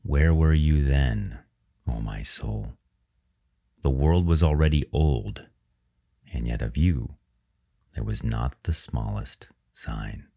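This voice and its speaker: A man narrating a guided religious meditation in a measured, reverent way with unhurried pauses. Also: a bass voice, creaky, mellow.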